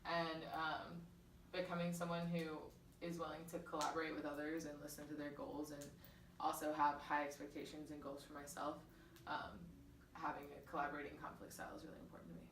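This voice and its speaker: A woman's voice: low-pitched, completely flat delivery, a little fast. A young woman giving an informal class presentation as a college student in a casual, conversational way. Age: young adult. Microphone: distant microphone. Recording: distant, echoey room, faint noise.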